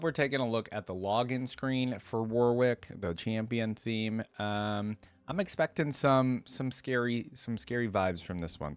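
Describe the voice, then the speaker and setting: A man hosting a reaction video with casual, off-the-cuff commentary. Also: lowish voice.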